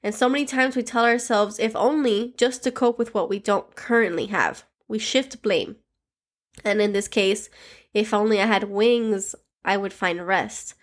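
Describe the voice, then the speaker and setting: A woman's voice: medium pitch, unhurried. Stuffy-voiced woman leading a devotional. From Miami, Florida. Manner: earnest, heartfelt, speaks straight to listeners. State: stuffy from a cold. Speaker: podcast host leading devotional prayer. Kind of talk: daily devotional talk and prayer.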